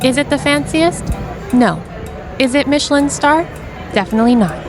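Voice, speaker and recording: A woman's voice: high-pitched, lively intonation, moderate pace. A woman narrating a travel vlog in an upbeat, scripted tour-guide voice-over. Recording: voice-over with music behind.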